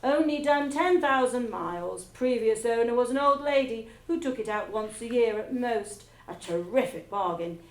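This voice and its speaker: A woman voicing a bullying, barking headmistress who hardly ever speaks in a normal voice, loud and impatient. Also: fairly high pitch, lively intonation, normal speed, hardly any pauses. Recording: echoey room, background ambience.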